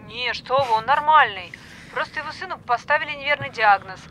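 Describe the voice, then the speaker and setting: Young woman rambling and apologizing on a call, informal, sharp bright voice, slight background noise, audio film. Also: high-pitched, animated, a little fast, rough recording. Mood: chatty, frank. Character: chatty apologetic young woman doctor moonlighting as stripper. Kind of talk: naturalistic audio drama phone conversation.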